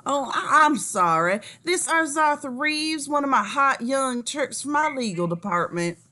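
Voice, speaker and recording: Bright, high, effusive business father played by a woman. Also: lively intonation, a little slow, exaggerated voice. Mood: affable. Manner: effusive, over-familiar. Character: genial, imposing businessman father in his fifties. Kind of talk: table read of an animated film script.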